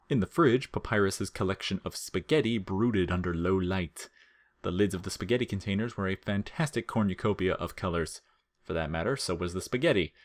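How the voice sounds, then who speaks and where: A man with a low-pitched voice narrates a comic story. He reads from a script in a measured way, keeping the humor deadpan.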